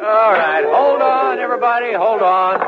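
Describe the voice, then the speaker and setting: A man acting in a radio drama as a loud, skeptical club leader who teases with theatrical disbelief. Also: sits high, expressive, moderate pace, loud music behind the voice. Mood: mocking disbelief. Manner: teasing, incredulous, theatrical. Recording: muffled radio recording, crowd behind.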